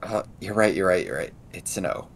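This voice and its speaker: A man's voice: animated, brisk, creaky, a crowd in the background. A man narrating and role-playing his character in a tabletop role-playing game. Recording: low rumble and background noise.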